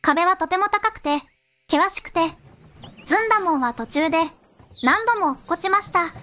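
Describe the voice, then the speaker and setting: A young-sounding synthetic woman narrating a fairy tale in measured storybook phrasing. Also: very high-pitched, lively intonation, sharp tone, slight background noise.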